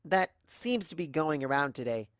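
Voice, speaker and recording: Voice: mid-range voice, lively intonation, normal speed, dry recording, studio quality, voice pushed up, close to an everyday voice. A performer voicing an earnest, polite, somewhat puzzled young unicorn mare in a storybook reading, hesitant and courteous. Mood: awkward.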